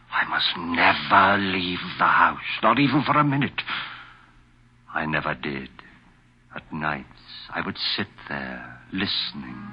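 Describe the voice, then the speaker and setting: A haunted, melodramatic murderer, vintage radio. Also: male voice, lowish voice, breathy edge, full character voice. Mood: haunted brooding. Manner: melodramatic, brooding, bursting into frantic outbursts. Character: guilt-ridden murderous husband, desperate, haunted recluse narrating his crime. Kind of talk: 1940s radio thriller acting, dramatic first-person confession. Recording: muffled vintage 1940s radio recording with music.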